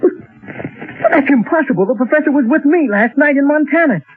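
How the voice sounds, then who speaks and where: A desperate, agitated radio-drama hero with a high voice that swings widely as he pleads. He sounds insistent and frantic, on a muffled old recording. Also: lively intonation, normal speed, faint music behind. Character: frantic, desperate man insisting he witnessed an alien plot.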